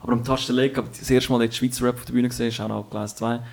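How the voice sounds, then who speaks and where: Dude co-hosting, excited, jokes around. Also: male voice, medium pitch, very fast, vocal fry. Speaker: co-host. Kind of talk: casual interview chat.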